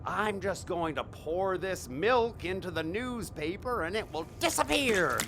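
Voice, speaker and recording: A man's voice: high voice, expressive, measured pace. An actor in an audio drama playing a flustered, defensive father who is a failed stage magician, exasperated and stammering. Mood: showman, nervous. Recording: clearly noisy background ambience.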